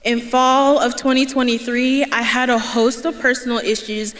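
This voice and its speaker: A woman's voice: a little high, fairly even intonation, normal speed, fairly bright tone. A young woman giving a prepared commencement speech as a student speaker, earnest and breaking into laughter between lines, recorded at a podium microphone in a large hall. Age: young adult. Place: large indoor ceremony hall. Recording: echoey hall with crowd ambience.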